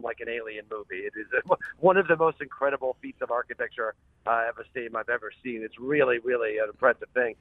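Over a narrow, tinny phone connection, a man talks as a radio interview guest. He is chatty and emphatic, rambling through his answers in a mid-pitched, dry-sounding voice. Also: dry recording. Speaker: sports columnist, show guest. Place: calling from a car.

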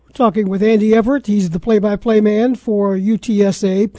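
A man's voice: high-pitched, steady tone, a little fast, mellow, no echo. A man hosting a sports talk radio show and interviewing a guest.

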